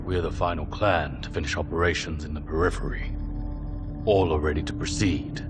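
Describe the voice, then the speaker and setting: A man's voice: rumbling low, steady tone, normal speed, music in the background. A man playing a dutiful, grave galaxy commander in a game cutscene, reporting formally and deferentially. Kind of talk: video game cutscene acting.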